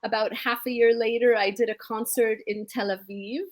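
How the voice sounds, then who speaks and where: An upbeat woman singer talks through her story on a video call, now and then breaking into song. Her voice sits a little high. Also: level tone, moderate pace. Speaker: guest singer. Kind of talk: casual video-call interview.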